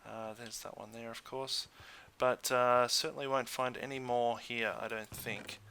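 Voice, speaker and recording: Bright-voiced man, casual gaming commentary. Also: medium pitch, level tone, a little slow, clear, resonant tone. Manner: hesitant, thinking aloud. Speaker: gaming video host.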